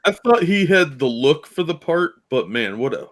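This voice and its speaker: A man's voice: a little high, very animated, moderate pace. A man hosting a casual podcast conversation. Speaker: podcast host. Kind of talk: casual chat.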